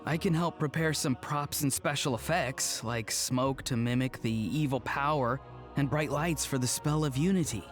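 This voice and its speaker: A man's voice: mid-range voice, moderate pace, soft background music, close to an everyday voice. A man voicing a keen, helpful young fighter in a video game.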